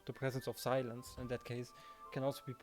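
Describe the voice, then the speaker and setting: A man's voice: mid-range voice, completely flat delivery, quick pace, music in the background. A man hosting a let's play, reading a visual novel and chatting casually in a conversational way. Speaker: let's play host, narrator and reader.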